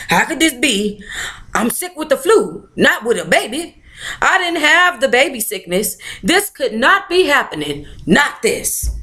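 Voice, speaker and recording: A woman's voice: sits high, sharp tone. A woman hosting a book club, reading aloud expressively with sassy, informal interjections of her own. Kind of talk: expressive read-aloud with playful ad-lib commentary.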